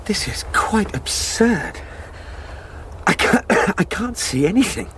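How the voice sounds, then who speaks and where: An actor playing a proper young English solicitor who is rattled and agitated, in a radio drama. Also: male voice, sits high, very animated, slow pace, fairly bright tone, exaggerated voice. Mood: anxious. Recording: noisy outdoor sound-effects ambience.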